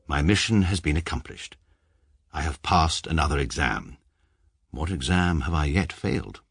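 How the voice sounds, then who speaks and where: Pompous, very deep-voiced English barrister. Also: male voice, breathy edge, no room sound, full character voice. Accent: upper-class English. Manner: pompous, precise, formal, deadpan. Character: pompous, coldly logical English establishment barrister narrating his life. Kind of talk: scripted first-person narration in radio comedy drama.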